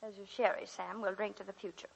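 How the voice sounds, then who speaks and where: An actress playing an elderly woman college teacher, a reflective and wry great-aunt, in gentle, theatrical 1940s radio drama style. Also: mid-range voice, little pitch movement, quick pace, gravelly, breathy edge, poor audio. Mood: resigned, musing. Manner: reminiscing, gentle, theatrical delivery. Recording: hissy 1940s radio broadcast recording.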